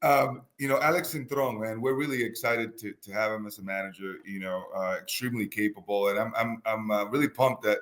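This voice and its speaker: A man's voice: lowish voice, brisk, hardly any pauses, a bit bright. A man from the US Northeast co-hosting a baseball talk show, enthusiastic and full of fillers. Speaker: baseball podcast co-host. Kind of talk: casual sports chat.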